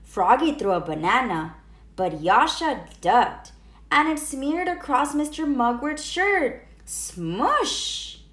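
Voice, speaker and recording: High, animated woman reading in a roomy space. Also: takes it slowly. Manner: expressive, emphatic sound words. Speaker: teacher reading children's books aloud, channel host. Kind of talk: animated children's storybook read-aloud. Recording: roomy echo.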